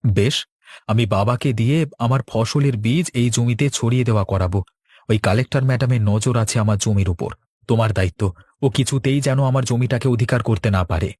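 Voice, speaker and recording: Man playing a husky, earnest young villager. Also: medium pitch, brisk, darkish tone, dry recording, studio quality, lightly acted. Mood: worried, determined. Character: earnest young village man, dutiful son and hopeful farmer. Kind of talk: Bengali cartoon dialogue.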